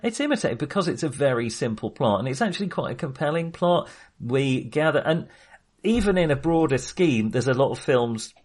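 A man's voice: medium pitch, very animated, a little fast, darkish tone. A man co-hosting a casual film discussion, speaking in a hesitant, self-qualifying way. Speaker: podcast co-host discussing films.

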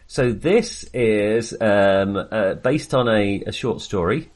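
A man co-hosting a podcast, chatting casually about films in a halting, jokey, self-deprecating way. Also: fairly low pitch, a little mellow. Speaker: podcast co-host. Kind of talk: casual film discussion.

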